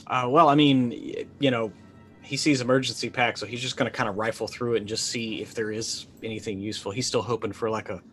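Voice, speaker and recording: A man's voice: medium pitch, fairly even intonation, fast talker, a bit bright, faint music behind. A man in his forties casually narrating his character's moves as a game player, in stop-start phrases. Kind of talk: casual improvised roleplay. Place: indoor room.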